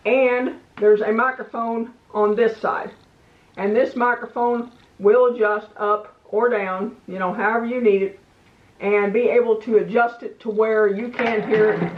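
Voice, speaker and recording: A woman's voice: on the high side, fairly even intonation, a little slow. A woman giving a casual hands-on product review in a plain-spoken, folksy, meandering way. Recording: echoey room, slightly muffled sound.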